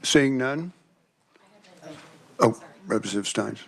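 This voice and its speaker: A man's voice: mid-range voice, takes it slowly, gravelly, fairly bright tone. A man chairing a legislative committee meeting, running procedure in a courteous manner.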